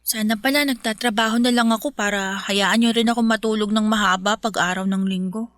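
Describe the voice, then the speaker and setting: A woman's voice: mid-range voice, steady tone, a little fast, a bit bright, no echo, lightly acted. A woman voicing a sleepy, complaining fifteen-year-old Filipino daughter in a radio drama, whiny yet polite. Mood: questioning complaint. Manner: whiny, apologetic, polite to elders.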